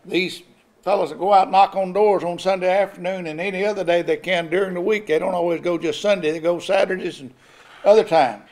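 High-voiced older male pastor preaching. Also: fairly even intonation, brisk, darkish tone. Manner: preaching with rising emphasis and occasional chuckles. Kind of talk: sermon. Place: church sanctuary at a pulpit. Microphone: pulpit microphone.

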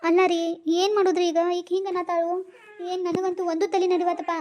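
A fretful mother, acted by a woman with a North Karnataka Kannada accent talks in very high, rapid bursts. She coaxes her daughter and is quick to defend herself in a family drama skit. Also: little pitch movement, darkish tone. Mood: defensive. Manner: coaxing, defensive, rapid scolding.

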